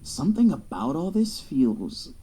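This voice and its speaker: A man's voice: a little high, big pitch swings, unhurried, mellow, slightly rough, full character voice. An actor playing a young male athlete, guarded and curious, narrating his own story in an audio drama. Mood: curious, probing. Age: young adult. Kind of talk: first-person audio drama narration and dialogue.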